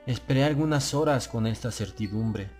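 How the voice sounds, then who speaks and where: Male storyteller for gothic horror tale. Solemn, deliberate, dramatic, with a clear musical bed. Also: medium pitch, a little mellow, faint music behind. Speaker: audiobook narrator.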